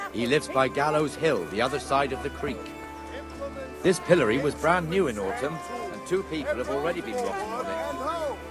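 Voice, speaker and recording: A man's voice: medium pitch, natural voice. An actor playing a brash, talkative medieval town guard in a video game, blunt and teasing as he instructs. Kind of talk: video game dialogue acting. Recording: music behind the voice.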